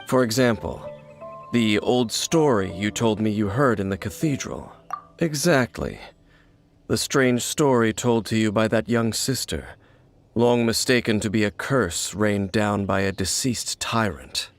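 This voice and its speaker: Solemn knowing game warrior, low voice, measured formal delivery, guarded, music behind. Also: male voice, animated. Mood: analytical. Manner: measured, formal, serious, explanatory. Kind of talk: anime-style video game voice acting. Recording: studio voice over background music.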